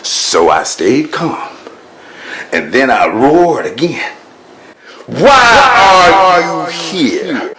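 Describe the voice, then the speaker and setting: A man narrating as a proud, grumpy male lion king in a storybook, slow, grand and huffy, growling now and then. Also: fairly high pitch, huge pitch swings, a crowd in the background, exaggerated voice. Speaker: first-person narrator.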